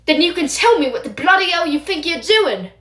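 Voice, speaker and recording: A gruff older wizard teacher scolds with stern, protective anger, voiced by a female reader. He snaps his words out in short bursts. A roomy echo hangs around the voice. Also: on the high side, animated, moderate pace, close to an everyday voice. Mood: scolding.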